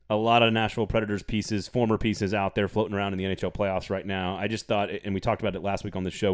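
A man hosting a casual sports podcast, playful and teasing, reading an ad with gusto. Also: on the low side. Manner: playful, teasing, reads ads with enthusiasm. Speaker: podcast host.

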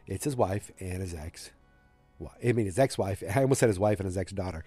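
Male podcast host, fighting a cold, dark and low, casual and digressive. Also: lively intonation, brisk, husky, faint music behind. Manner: rambling, digressive, self-correcting. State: has a cold. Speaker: podcast host recapping a TV episode. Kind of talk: casual solo recap.

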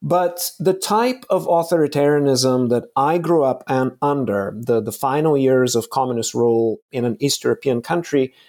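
A man's voice: medium pitch, normal speed, few pauses, darkish tone. A man, answering interview questions in careful, reflective academic phrasing. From Bulgaria. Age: late 40s. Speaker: podcast guest, political science professor.

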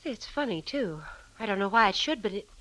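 A woman's voice: mid-range voice, lively intonation, fairly quick, fairly bright tone, close to an everyday voice, low-quality recording. A woman acting in a 1940s-style radio crime drama as a shrewd, covetous wife with an insistent, probing manner. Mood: intrigued, covetous. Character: shrewd, sharp-questioning wife of a killer, covetous.

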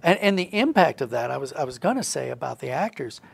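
A man's voice: mid-range voice, big pitch swings, fast talker, creaky, slightly rough, dry recording. A middle-aged man talking reflectively as a guest in a conversational interview, acting out little quotes as he explains. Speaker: guest, audio drama writer.

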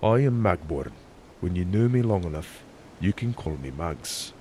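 Man acting a gruff older seafarer, low-pitched, accented, stern, curt and sardonic, storybook character, noticeable background noise. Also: animated, measured pace, darkish tone. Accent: deep put-on accent. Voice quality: deep. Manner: curt, chiding, dry.

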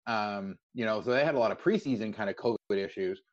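An American man hosting a sports podcast, asking questions in a casual, halting, roundabout way. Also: lowish voice. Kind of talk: conversational interview. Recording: slightly dull lo-fi audio.

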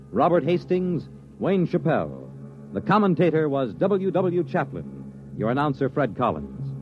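A man announcing and narrating from a script in a formal, dramatic 1950s radio style. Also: a little high. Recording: dull old radio broadcast with music. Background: music.

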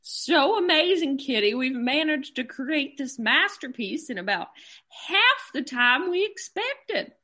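A woman's voice: sits high, very animated, unhurried, bright, forceful tone, heavily acted. A woman playing a prim, gushing co-host of an old-fashioned radio cooking show, polite and presenterly. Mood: upbeat. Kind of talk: parody of old-time radio homemaking show.

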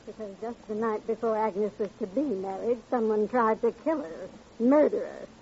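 An American actress playing a domineering, nagging wife with an insistent, scornful manner in an old-time radio crime drama. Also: female voice, medium pitch, normal speed, dark tone, close to an everyday voice. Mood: defiant. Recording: muffled, noisy vintage radio recording.

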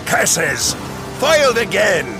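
A man's voice: high voice, big pitch swings, slow, music in the background, full character voice. A man playing a thwarted, self-mocking melodrama villain in a radio comedy drama, hammy and theatrical. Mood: mock outrage.

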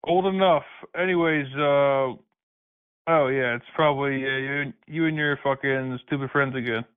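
A man answers a prank call in an irritated, dismissive way. His voice sits at an ordinary pitch. It comes through a thin, phone-like line. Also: steady tone, moderate pace, smooth.

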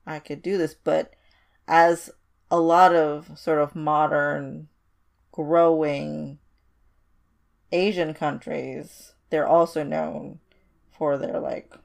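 Woman from indonesia doing casual podcast chat, deep slow voice, repeats words for emphasis. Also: little pitch movement, gravelly, a little mellow. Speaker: podcast co-host.

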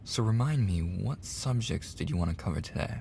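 A man plays a male college tutor in an intimate ASMR roleplay, easygoing and teasing. His soft voice sits low with a heavy creak, and he takes his time with casual, flirty pauses. There is faint noise behind him. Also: animated. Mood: friendly, casual. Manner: casual, hesitant pauses, soft-spoken, drops into whispers. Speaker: audio roleplay performer.

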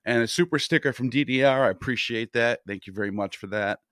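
A man hosting a live stream, reading out viewer comments and chatting in a relaxed way. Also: medium pitch, fast talker, no echo, clean studio sound.

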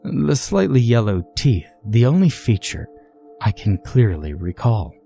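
Man, horror story narrator, lowish bright voice, measured dramatic delivery, faint music behind. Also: very animated, creaky. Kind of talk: scripted first-person creepypasta narration.

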